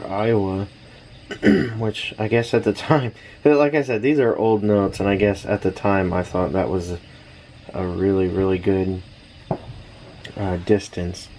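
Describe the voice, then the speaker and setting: Slow-talking, low-voiced American man. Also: warm tone. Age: 30s. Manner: laid-back, halting, thinking aloud. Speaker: radio hobbyist, vlogger. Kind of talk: casual rambling vlog. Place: small backyard shed. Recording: noisy shed, fireworks in distance. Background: background noise, distant fireworks popping.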